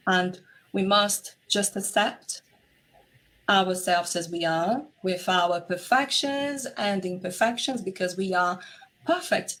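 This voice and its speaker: A woman's voice: medium pitch, fairly bright tone, low-quality recording. A woman from Brazil, a native Portuguese speaker with a Brazilian accent, talking earnestly and directly as an interview guest. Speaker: interview guest, relationship coach. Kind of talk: reflective interview talk.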